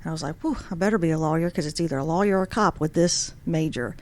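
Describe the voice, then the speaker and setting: A woman's voice: deep voice, fast talker, darkish tone. A woman, from Georgia, telling her story earnestly in a casual radio interview as a guest. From Georgia, USA. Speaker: guest, superior court judge.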